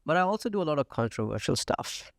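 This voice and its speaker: A gravelly-voiced man from India speaks as a podcast guest, confident and matter-of-fact, pausing often on "uh." The sound is dry and close, with no room echo. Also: fairly high pitch, sing-song. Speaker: real estate agent, podcast guest. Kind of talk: casual interview talk. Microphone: podcast studio mic.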